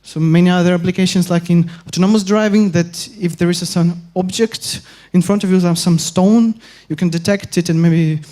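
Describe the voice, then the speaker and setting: Young man from Ukraine, hesitant academic presenter, warm darker voice. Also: sits high, steady tone. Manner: halting, many filler pauses and restarts. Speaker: conference speaker. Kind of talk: academic conference talk.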